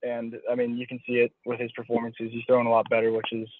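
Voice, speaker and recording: A man's voice: medium pitch, completely flat delivery, quick pace, noisy background. A young man, a college athlete being interviewed, speaking casually over a phone call and often restating his phrases as he goes. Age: young adult. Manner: hedging, self-correcting, restating phrases. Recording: dull, lo-fi phone call audio.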